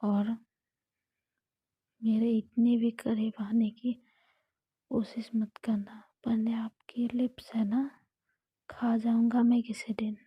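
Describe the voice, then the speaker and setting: A woman's voice: fairly high pitch, little pitch movement, slow, long pauses, husky, no room sound, highs cut off, full character voice. A woman whispering the part of a bold, possessive young woman lover in a romantic story. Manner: whispered throughout.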